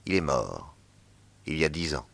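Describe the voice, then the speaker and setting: An actor playing a shrewd, calm male detective in a radio drama, speaking in a low, measured way. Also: creaky, heavily acted. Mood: calm, probing.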